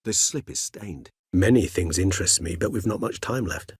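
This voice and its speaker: An actor playing a courteous, erudite, world-weary centuries-old vampire in a video game, speaking in measured, eloquent, formal phrases. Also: male voice, deep voice, a little mellow, slightly rough, no room sound, studio quality. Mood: grave, earnest. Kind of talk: video game character dialogue.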